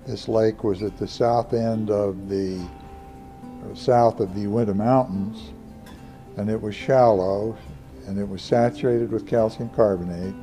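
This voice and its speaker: A man's voice: fairly low pitch, steady tone, unhurried, mellow, faint music behind. A man explaining something as an expert, like a professor in an interview.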